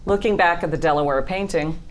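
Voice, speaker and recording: A woman's voice: deep voice, brisk, slight background noise, rough recording. A woman narrating the stage directions of a script as scripted narration.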